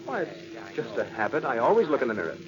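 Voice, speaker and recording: Actor doing a husband in an old radio thriller, soothing but fed up, very lively pitch, muffled sound. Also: male voice, fast talker, music in the background, lightly acted. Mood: reassuring. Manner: soothing but impatient, earnest. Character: reassuring, exasperated husband, a lung specialist. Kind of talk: 1940s radio suspense acting. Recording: dull old radio recording.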